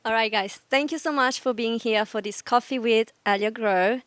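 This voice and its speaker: A woman's voice: fairly high pitch, moderate pace, fairly bright tone, no room sound. A woman hosting a live talk event, wrapping up with thanks to guests and helpers. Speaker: host, festival director.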